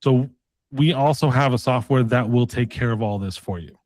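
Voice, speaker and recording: Male sales coach for a mock call pitch: colloquial, persistent, pushy closer, mid-pitched voice in a dry recording. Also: moderate pace, darkish tone, dry recording. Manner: pushy, persistent, uses tag questions; casual, colloquial phrasing.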